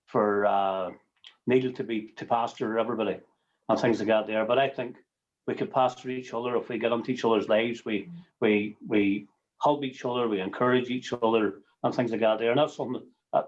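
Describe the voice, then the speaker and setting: A man with a Northern Irish accent sharing informally on a video call, halting and repeating his words as he goes. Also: mid-range voice, a little mellow. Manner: halting, repeating words, tagging phrases with everyday sayings. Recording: muffled video call audio.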